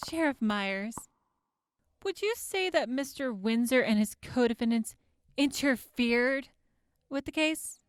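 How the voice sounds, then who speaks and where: A composed prosecutor in a radio drama. Her voice is high and smooth, and she takes her time, putting each formal question with a pointed edge. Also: animated, slow pace, dry recording. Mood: probing, measured. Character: composed, probing woman prosecutor in a wasteland town court. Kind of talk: audio drama courtroom acting.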